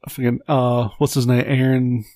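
A man's voice: mid-range voice, flat delivery, moderate pace, mellow, no room sound. Laid-back man joking crudely. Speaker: podcast co-host. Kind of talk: casual chat.

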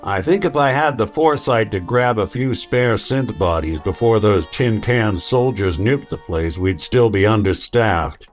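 An actor playing a weary, wry synth detective with a dry deadpan delivery in an old-time radio noir drama. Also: male voice, fairly low pitch, a little slow, hardly any pauses, soft background music. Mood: wry, resigned.

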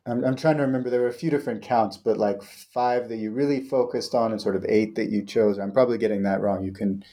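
A man's voice: on the low side, fairly even intonation, quick pace, warm tone. A man hosting an interview, asking questions in a hesitant way and rephrasing as he goes. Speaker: podcast host.

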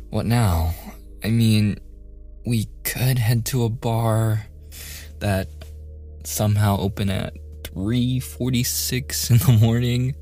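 Man acting as shy young guy in boyfriend asmr, deep husky voice, awkward n smitten, slow and stammery. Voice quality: soft, breathy, often slipping into whispers. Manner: hesitant, stammering, self-deprecating joking.

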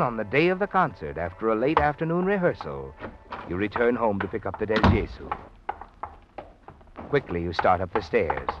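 An American actor playing a sardonic all-knowing storyteller, narrating a 1940s radio thriller in a pointed, suspenseful second person. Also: male voice, on the low side, background noise. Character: omniscient, sardonic male storyteller needling the protagonist. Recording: dull vintage radio with music bed. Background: dramatic music.

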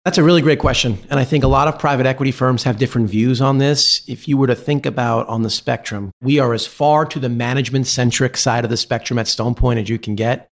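Man explaining business in measured terms. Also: medium pitch, steady tone. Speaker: private equity executive, interview guest. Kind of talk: business interview, explanatory answers.

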